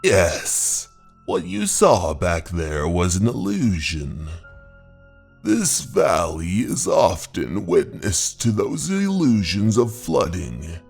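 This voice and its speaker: A man voicing a grave, mysterious pipe-smoking mountain dweller in a horror manga dub, speaking in solemn, deliberate tones. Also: darkish tone, full character voice. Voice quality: low, gravelly. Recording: music under the voice.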